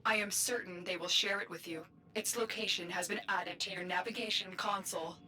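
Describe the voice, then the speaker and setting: A woman playing a protective, solemn guide in a video game, speaking in a measured, earnest way. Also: fairly low pitch, steady tone, bright, forceful tone, poor audio. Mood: calm briefing. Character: calm, protective female guide, solemn and concerned.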